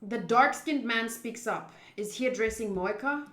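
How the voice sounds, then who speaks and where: A young woman plays and narrates a visual novel. Her voice is fairly high and bright, with a roomy echo around it. She is chatty and expressive, moving between reading and commentary. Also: moderate pace. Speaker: streamer playing a visual novel. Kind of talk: gaming commentary, reading story text aloud. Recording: roomy, echoing voice.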